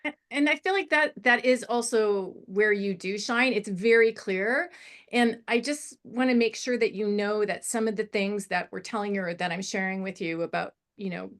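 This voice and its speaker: Woman, middle-aged, medium pitch. Script feedback panellist: encouraging, animated, digressive, conversational. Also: normal speed. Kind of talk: casual spoken feedback on a screenplay.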